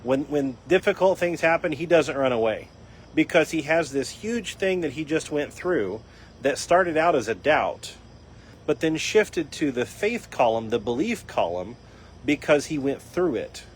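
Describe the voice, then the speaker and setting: A man hosting a live faith and recovery talk, his voice a little raspy, explaining in an earnest teaching tone with frequent restarts. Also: on the high side, expressive, unhurried, slight background noise. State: raspy voice from dryness. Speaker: host. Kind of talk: casual talk on a live stream.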